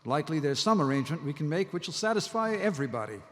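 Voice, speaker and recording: A man acting a calm, shrewd, courteous army colonel in a live audio drama, formal and diplomatic. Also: on the high side, racing, background noise. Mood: placating. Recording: echoey live hall.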